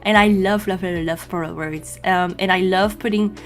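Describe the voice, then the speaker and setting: A young woman from Quebec with a French-Canadian accent, a native French speaker, chatting casually in a voice-over as she draws, often pausing with ums and you-knows. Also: medium pitch, steady tone, faint music behind. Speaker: journaling channel host. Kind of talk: casual voice-over narration while drawing.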